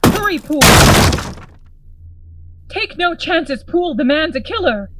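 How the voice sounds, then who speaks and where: A woman's voice: high voice, very animated, unhurried, no room sound. A woman acting a grave, commanding Victorian friend investigating a horror in a gothic radio drama, formal and urgent in manner.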